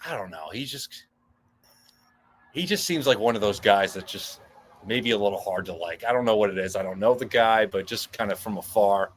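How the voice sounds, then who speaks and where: A man's voice: fairly low pitch, normal speed, slight background noise. A man co-hosting a casual live sports podcast from a backyard studio, speaking in a laid-back, hesitant way with plenty of fillers. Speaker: podcast co-host.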